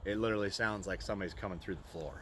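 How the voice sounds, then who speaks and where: A man in his early forties with a Midwestern American accent, chatting casually and reminiscing. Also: very fast. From small-town Iowa, United States. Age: early 40s. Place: outdoors at an old rural bridge. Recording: noisy outdoor recording with ambient rumble.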